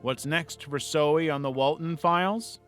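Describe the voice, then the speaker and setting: A man's voice: a little high, measured pace. A man reading a scripted narration in the role of a video essay narrator. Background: background music.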